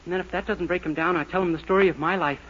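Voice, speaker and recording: An actor playing a naive, boastful, earnest young small-town cab driver in a 1940s radio sitcom. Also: male voice, sits high, steady tone, very fast, natural voice. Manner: eager, guileless, cheerfully self-assured. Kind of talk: 1940s radio situation comedy acting. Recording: noisy, dull 1940s radio recording.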